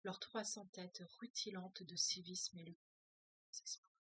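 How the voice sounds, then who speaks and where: Dry-sounding French teacher reading aloud. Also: female voice, on the low side, fairly even intonation, a little slow, pushed and bright, husky, no echo. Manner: hesitant, many filler sounds, thinks aloud. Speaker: French as a foreign language teacher. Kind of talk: reading a novel aloud with commentary.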